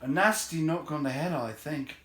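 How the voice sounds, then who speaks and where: A man's voice: a little high, moderate pace, fairly bright tone, reverberant, voice pushed up, natural voice. A man voicing a small, kindly hobbit from a storybook, humble and earnest in an exclamatory way. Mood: weary, sore.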